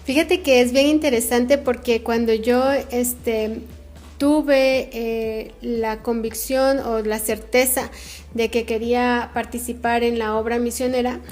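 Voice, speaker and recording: A middle-aged woman from Guatemala with a Guatemalan Spanish accent, answering interview questions earnestly about her missionary work, often pausing on "este" and "eh". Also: fairly high pitch, darkish tone. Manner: earnest, frequent fillers like "este" and "eh". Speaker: missionary guest.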